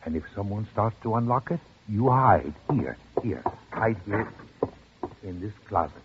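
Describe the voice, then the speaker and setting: A man's voice: fairly low pitch, expressive, measured pace. Anxious older man, swooping intonation, old radio. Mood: anxious, coaxing. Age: 60s. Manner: urgent, coaxing, repetitive. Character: anxious older man secretly hiding someone in his hotel room. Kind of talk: old-time radio drama acting. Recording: dull, noisy old radio recording.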